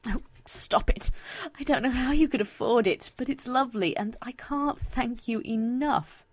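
A woman voicing a refined, emotional female pony musician in a soft, breathy, heartfelt manner. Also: a little high, normal speed, no echo, natural voice. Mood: tearful, grateful. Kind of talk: fan fiction character voice.

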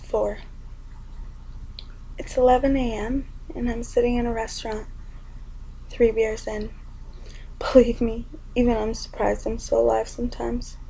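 A young woman performing spoken word poetry in an intimate, often whispered delivery with a gravelly edge. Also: fairly high pitch, level tone, a little slow, pauses often, mellow, slight background noise, rough recording.

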